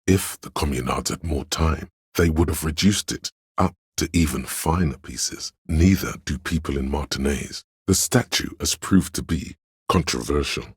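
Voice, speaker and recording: A man voicing a wry, grandiloquent, erudite inner voice of trivia in a video game, lecturing in measured scripted narration. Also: a bass voice, breathy edge, no room sound, clean studio sound, full character voice. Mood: measured, scholarly.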